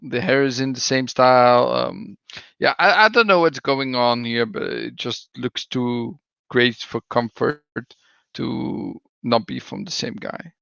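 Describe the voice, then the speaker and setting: A man's voice: medium pitch, measured pace, creaky, fairly bright tone, clean tone, no echo, highs cut off. A man from Belgium with a Belgian accent, a laid-back panelist on a gaming podcast who laughs often. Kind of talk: casual gaming podcast chat.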